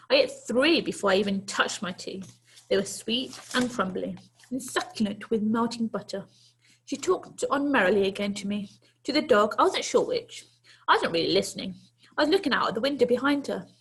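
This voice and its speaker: A woman's voice: mid-range voice, breathy edge, rough recording. A woman teacher reading a children's story aloud to pupils, expressively and with dramatic, emphatic phrasing.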